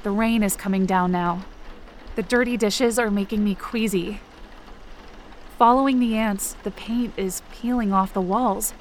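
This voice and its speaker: A woman's voice: medium pitch, fairly even intonation, measured pace, slight background noise, close to an everyday voice. A woman playing an anxious, injured young camp counselor, narrating a first-person audio drama in a tense, dramatic way. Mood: uneasy, tired. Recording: rain and ambience behind the voice. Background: rain and outdoor ambience effects.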